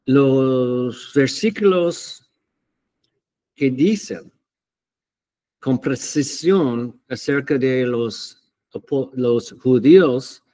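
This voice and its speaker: Very slow, halting man teaching the Bible. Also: medium pitch, dark tone. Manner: hesitant, halting, many fillers and restarts. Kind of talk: unscripted Bible teaching to camera.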